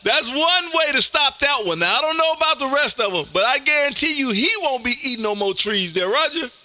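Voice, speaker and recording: A loud, folksy man from Mississippi hosting amateur radio contacts with an exuberant, chatty on-air delivery. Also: very high-pitched, animated, brisk. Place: indoor home radio room.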